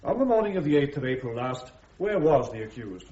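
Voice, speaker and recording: Actor as formal British army prosecutor, echoey courtroom. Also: male voice, mid-range voice, very animated, brisk, a little mellow, natural voice. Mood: formal, probing. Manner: formal, precise, questioning. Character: formal, probing male army major prosecuting at court-martial. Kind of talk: radio courtroom drama acting. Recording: roomy, dull radio drama recording.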